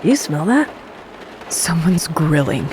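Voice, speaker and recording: A woman's voice: low-pitched, sing-song, slow, background noise, full character voice. An actress playing an easygoing, blunt woman van traveler in a naturalistic audio drama, talking casually over rain and vehicle sound. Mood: casual, hungry. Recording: loud rain and vehicle ambience.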